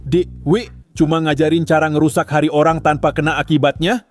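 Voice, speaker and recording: A man's voice: fairly high pitch, fairly even intonation, a little fast, soft background music. A man giving comic, sarcastic scripted narration with an exaggerated ranting delivery.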